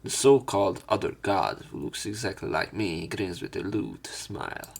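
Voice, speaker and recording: A man reading a visual novel aloud with commentary, in a theatrical, expressive way. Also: low voice, moderate pace, gravelly. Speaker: let's player narrating and commenting on a visual novel. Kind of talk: dramatic read-aloud with commentary.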